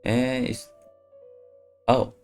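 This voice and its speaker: A man's voice: mid-range voice, level tone, extremely slow, a little mellow. A man giving casual livestream commentary as he plays a game. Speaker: game streamer. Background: faint game music.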